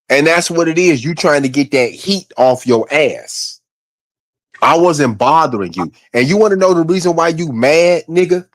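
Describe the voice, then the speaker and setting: A man's voice: medium pitch, no room sound. Angry man ranting on a livestream. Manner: angry, accusing, profane, addresses opponents directly. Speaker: livestream host. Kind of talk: heated livestream rant.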